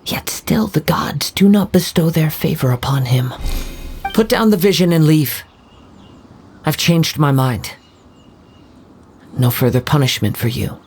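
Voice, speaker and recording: An actor playing a calm, reflective young samurai in a game, measured and soft-spoken. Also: male voice, on the high side, gravelly. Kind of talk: game voice acting. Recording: game audio over music.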